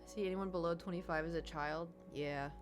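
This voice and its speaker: A woman's voice: on the low side, fairly even intonation, fairly quick. A woman from the United States, a gaming streamer rambling in casual chat and answering her chat. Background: background music.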